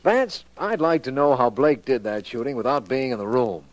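An actor playing a detective's inquisitive, genial official partner in a 1940s radio drama. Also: male voice, medium pitch, sing-song, moderate pace, dry recording, natural voice, rough recording. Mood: puzzled, curious. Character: male official, detective's inquisitive, hearty crime-fighting partner.

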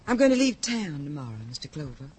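An actress playing a lonely widow in an old radio drama, speaking with wounded, wistful sorrow. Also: female voice, low voice, sing-song, quick pace. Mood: sorrowful. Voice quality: wistful, wounded, then sharp. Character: grieving, bitter woman in love with a dead writer. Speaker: widow visiting a detective. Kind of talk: 1950s radio drama acting.

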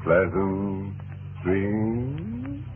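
A macabre radio horror host with wry, ghoulish glee. His lowish voice draws words out slowly and swoops enormously. Music sits behind him on a tinny vintage recording. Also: huge pitch swings, heavily acted. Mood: macabre, mocking. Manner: tongue-in-cheek, macabre, drawn-out. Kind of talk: 1940s radio horror hosting.

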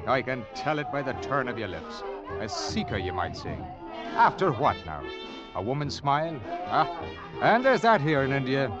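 An actor playing a genial older drifter in an old radio drama, florid, poetic and ingratiating. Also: male voice, medium pitch, wildly swooping pitch, normal speed. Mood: genial, insinuating. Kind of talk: 1940s-50s radio drama acting. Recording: dull old radio recording with music.

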